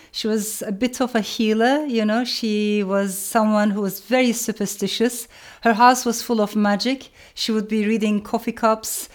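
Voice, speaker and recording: A middle-aged woman novelist, Turkish as her first language, speaking in a measured, earnest way, repeating phrases for emphasis. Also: mid-range voice. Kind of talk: reflective talk to camera.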